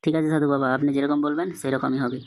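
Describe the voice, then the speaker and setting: A man's voice: fairly high pitch, little pitch movement, racing, darkish tone, natural voice. A man voicing a hot-headed but devout middle-aged village father in a Bengali comedy cartoon. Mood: devout, obedient.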